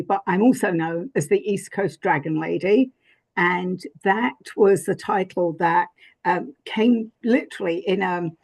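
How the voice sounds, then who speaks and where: An older English-accented woman talks in a loose, rambling way, full of fillers and restarts. Her voice has a gravelly edge and a husky, slightly breathy quality. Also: mid-range voice, darkish tone. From England. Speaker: podcast guest. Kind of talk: casual interview chat.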